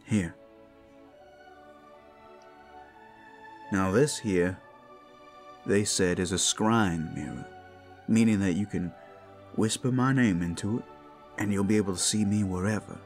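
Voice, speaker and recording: A man playing a tender, protective werewolf lover in an intimate ASMR roleplay, speaking in halting, heartfelt phrases full of endearments. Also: sits low, soft background music. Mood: warm, teasing. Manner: soft endearments, halting heartfelt delivery, teasing asides. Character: tender, devoted, protective male werewolf lover working at a brothel.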